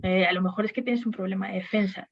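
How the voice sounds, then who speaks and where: Halting woman on a lo-fi call. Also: mid-range voice, steady tone. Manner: hesitant, many restarts and fillers. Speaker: HR panelist. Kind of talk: webinar panel discussion.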